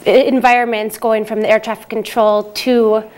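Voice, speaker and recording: A woman's voice: fairly high pitch, flat delivery, moderate pace, a little mellow. A young woman interviewing a guest with prepared questions in a conversational way.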